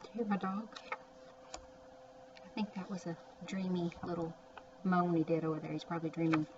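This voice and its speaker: A woman's voice: fairly low pitch, steady tone, brisk. A woman teaching young children to read, sounding out and repeating words with chatty asides, in a word-by-word phonics lesson. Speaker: early reading teacher. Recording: distant mic, muffled, faint background noise.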